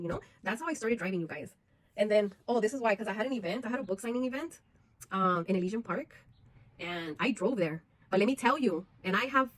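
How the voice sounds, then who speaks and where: A woman's voice: mid-range voice, a bit bright, no room sound, rough recording. A woman, talking frankly and bluntly to her viewers in a personal vlog, swearing freely. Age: 40s. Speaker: vlogger.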